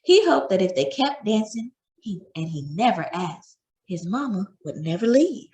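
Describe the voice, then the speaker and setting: Animated, husky woman reading a story. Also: mid-range voice, moderate pace. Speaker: children's story reader. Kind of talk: expressive read-aloud narration.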